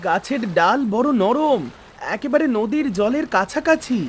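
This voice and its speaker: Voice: mid-range voice, measured pace, a little hiss or hum, natural voice. A voice actor playing a young boy in a cartoon, a caring older brother who speaks affectionately with mild scolding. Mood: indulgent. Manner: affectionate, mildly scolding, expressive. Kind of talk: melodramatic cartoon acting.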